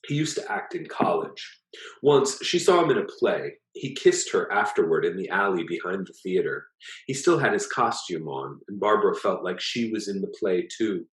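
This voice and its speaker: Very deep-voiced man reading in an echoey room. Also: very animated, normal speed. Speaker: author reading own short story. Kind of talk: literary story reading, scripted narration. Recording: echoey, roomy sound.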